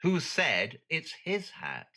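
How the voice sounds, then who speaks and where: An arrogant Victorian detective in an audio drama. His voice is very bright and cutting, swinging widely in pitch as he lectures lesser minds with pompous impatience. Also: wildly swooping pitch, slow pace, gravelly, exaggerated voice. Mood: pedantic, assured.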